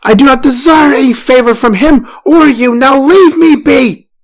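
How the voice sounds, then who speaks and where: A man voicing a young wife, shrill and furious. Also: moderate pace, no echo. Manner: shouting, raw anger.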